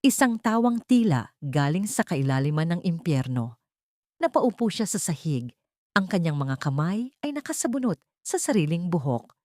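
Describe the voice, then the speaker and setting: A woman reading a dramatic audiobook story, voicing every character herself in one steady storytelling voice. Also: lowish voice, moderate pace, no echo, clean studio sound. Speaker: audiobook narrator. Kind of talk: scripted dramatic narration.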